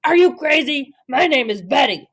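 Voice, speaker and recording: Lady doing a huge grumpy girl monster from a kids book, super offended, high and swoopy. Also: lively intonation, moderate pace, a bit bright, slight background noise. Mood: indignant, angry. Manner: indignant, exclaiming. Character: big furious female monsters, insulted and growling.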